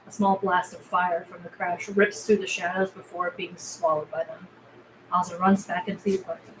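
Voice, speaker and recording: A woman's voice: lowish voice, level tone, normal speed, fairly bright tone, low-quality recording. Woman narrating a screenplay, faint noise. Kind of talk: scripted narration, screenplay read aloud.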